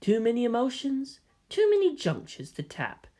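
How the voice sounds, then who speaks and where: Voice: medium pitch, wildly swooping pitch, moderate pace, dark tone, voice pushed up. A narrator voicing a smug, lilting male schemer. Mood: musing. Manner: silky, condescending, lecturing. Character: Karoo, smug soft-spoken inhuman young male schemer. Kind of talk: dramatic fan fiction reading.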